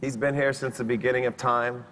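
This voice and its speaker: A man's voice: mid-range voice, little pitch movement, a little fast. A man coaching on a TV singing show, giving a contestant amused, friendly advice. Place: large TV studio with an audience. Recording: crowd noise in a studio.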